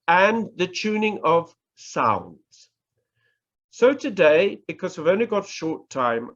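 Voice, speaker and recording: A man with a New Zealand accent giving a public speaking workshop, talking in a measured, deliberate way and stressing words to demonstrate. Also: a little high, a bit bright. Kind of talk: slow instructional presentation. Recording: dull lo-fi recording.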